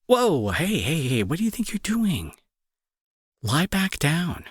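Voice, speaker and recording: A young man playing a gruff school bully who is fearful and protective underneath, in an intense, halting, pleading audio roleplay style. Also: fairly high pitch, very animated, no echo, studio quality, heavily acted. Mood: agitated, pleading. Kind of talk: dramatic audio roleplay acting.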